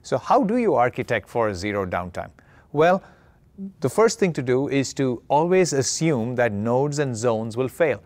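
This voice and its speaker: A man's voice: mid-range voice, sing-song, normal speed, a little mellow, dry recording. Man giving a conversational tech keynote, dry sound. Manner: conversational, explanatory, often ends with "right?". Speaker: keynote speaker, tech executive. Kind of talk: conference keynote presentation.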